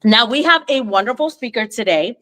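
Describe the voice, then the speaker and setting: A woman's voice: fairly high pitch, animated, a little fast, sharp tone. Chatty woman host losing her voice. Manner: chatty, effusive, restarts often. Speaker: host, consulting CEO. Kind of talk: live interview introduction. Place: co-working space, on location.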